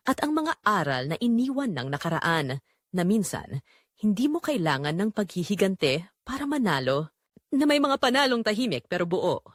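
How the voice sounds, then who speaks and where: A woman narrating a romantic drama in a measured, sentimental storytelling manner. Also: mid-range voice, no echo, studio quality.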